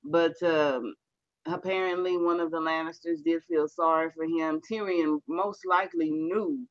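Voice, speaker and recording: Halting man on a dull dry call. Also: a little high, fairly even intonation, dry recording. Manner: halting, repeats phrases, many fillers. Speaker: book club member. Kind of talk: casual book discussion.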